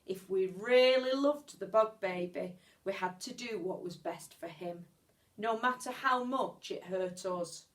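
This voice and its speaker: A woman reading a children's story aloud like a teacher, expressively, with measured pauses and stretched words. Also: medium pitch.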